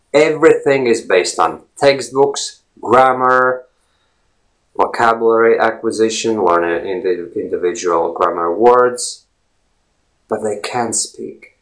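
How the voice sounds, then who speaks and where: A man's voice: deep voice, low-quality recording. A Latvian man in his forties, a native Latvian speaker talking in English, giving a rambling casual monologue with many fillers and repeated words. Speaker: language blogger.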